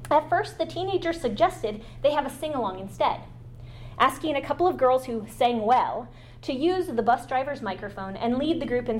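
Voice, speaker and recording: A woman guest lecturer giving an academic talk, partly read aloud, halting at times with fillers and restarts. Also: on the high side, a little hiss or hum.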